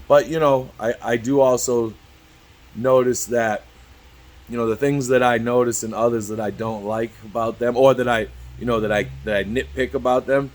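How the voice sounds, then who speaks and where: A man in his early fifties with a lowish voice talks to camera in a loose, unscripted ramble. He stumbles over his words, restarts sentences and fills every gap with "you know." His speech is blunt and full of swearing. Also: rough recording. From Cambridge. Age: 50s. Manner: halting, repeats "you know" constantly, swears freely. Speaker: YouTube vlogger. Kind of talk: rambling candid talk to camera.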